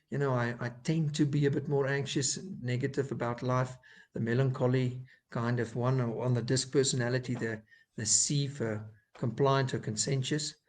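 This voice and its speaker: A man with an Afrikaans South African accent, a clinical psychologist giving a faith-based talk in his second language, pausing often with fillers and restarts. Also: mid-range voice. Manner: hesitant, many fillers and restarts.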